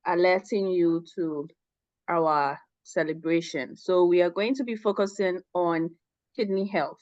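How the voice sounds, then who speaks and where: A woman from Ghana hosting an online professional seminar, making announcements in a measured way over a call. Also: fairly low pitch, darkish tone, no room sound. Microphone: online call audio. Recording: dull, dry call audio.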